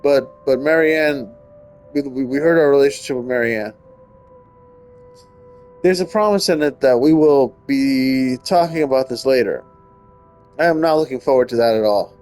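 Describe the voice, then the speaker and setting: A man's voice: medium pitch, normal speed, long pauses, darkish tone, faint music behind. A man giving casual gameplay commentary and reading story text aloud, often stumbling and rereading. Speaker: gaming streamer.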